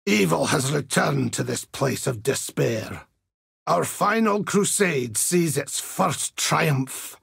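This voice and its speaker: An actor playing a zealous, grim older Templar holy warrior in a video game, with a gravelly, raspy voice and solemn, righteous delivery. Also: male voice, a little high, expressive, takes it slowly, fairly bright tone, no room sound, studio quality, full character voice. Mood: grave, brooding. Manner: solemn, pious, righteous oratory. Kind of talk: video game character voice lines.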